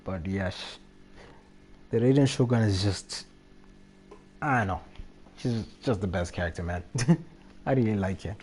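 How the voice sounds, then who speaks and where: A man's voice: fairly low pitch, measured pace, pauses often, darkish tone, slight background noise. A man talking casually as a live game streamer, rambling and often repeating his phrases. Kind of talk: casual gaming livestream chat.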